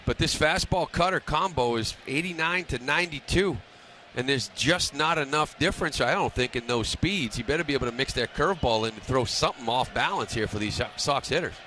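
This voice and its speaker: A man giving live radio color commentary on baseball, breaking down pitching in a conversational, opinionated way. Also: a little fast, pushed and bright. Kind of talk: live sports radio analysis. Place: stadium broadcast booth. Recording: some background ballpark noise.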